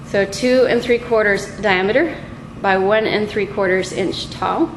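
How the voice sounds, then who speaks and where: A middle-aged woman instructor giving an unscripted, methodical lab demonstration in a halting way, picked up by a camera a few feet away in a small room. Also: medium pitch. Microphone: camera mic a few feet away. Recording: echoey room with clear background noise.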